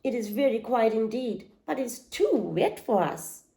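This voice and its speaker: A woman's voice: a little high, animated, measured pace, creaky, dark tone. A woman voicing three noisy young foxes who fuss and get scared easily. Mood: fussy.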